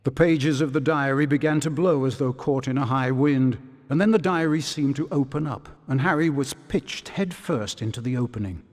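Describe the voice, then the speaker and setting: Casting: male game narrator, mid-pitched, deliberate dramatic storytelling, reverberant recording.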